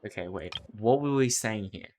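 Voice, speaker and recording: Low-voiced young man streaming games. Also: animated, moderate pace, darkish tone. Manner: slangy. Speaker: game streamer. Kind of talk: casual gaming reaction chat.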